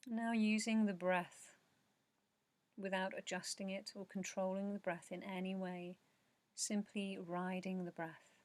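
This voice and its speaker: A woman with a low, very even voice guides a relaxation practice. She speaks softly and slowly, leaving long pauses and letting phrases trail into breath. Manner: slow, soothing, measured, with long pauses. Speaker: meditation guide. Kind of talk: guided meditation narration, yoga nidra.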